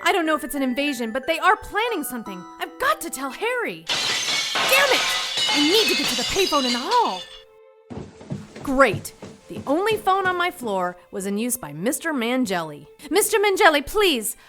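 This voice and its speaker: A woman playing a frightened, excitable young woman narrating a 1940s mystery radio drama. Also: sits high, moderate pace, over loud music. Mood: alarmed.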